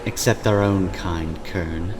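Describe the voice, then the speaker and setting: A man's voice: sits low, fairly even intonation, takes it slowly. A man playing a callous, sly vampire operative in a fantasy audio drama, talking conversationally. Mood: callous amusement. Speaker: voice actor. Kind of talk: scripted fantasy character dialogue. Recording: clearly noisy background hum.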